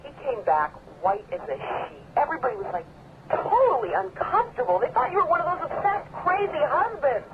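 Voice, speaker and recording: An actress playing an anxious, reproachful young wife in a radio drama, emotional and scolding. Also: female voice, high voice, very animated, brisk. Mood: exasperated, embarrassed. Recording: tinny phone or radio link, noisy background.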